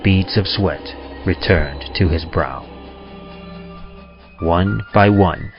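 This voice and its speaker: Male horror narrator, low and unhurried, suspense-driven delivery, muffled recording. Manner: suspenseful, swells and drops for tension. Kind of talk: dramatic horror story reading. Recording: dull lo-fi recording with music.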